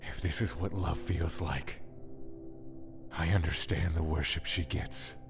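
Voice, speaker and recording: An actor playing a brooding, stoic god of death in an audio drama, speaking deliberately. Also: male voice, very deep voice, gravelly, full character voice. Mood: brooding, calm.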